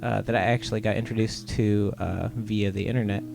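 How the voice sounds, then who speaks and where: A man from Ohio, a comic artist guest, giving relaxed, rambling interview answers with lots of "uh"s. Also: lowish voice, a little fast, vocal fry, music in the background. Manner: laid-back, rambling with many "uh"s.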